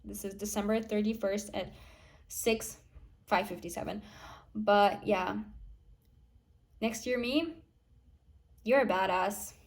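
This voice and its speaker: A woman's voice: medium pitch, takes it slowly, pauses often. A nineteen-year-old woman vlogging in a casual, chatty way, full of fillers and restarts. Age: young adult. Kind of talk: casual planning vlog.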